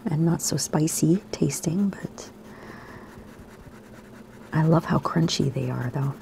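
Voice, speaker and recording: A woman, slightly hoarse today, gently talking kids through a drawing lesson in a soft, half-whispered voice. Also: deep voice, slow pace, slight background noise. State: raspy, slightly sore throat. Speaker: art teacher for kids. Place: home room by a window.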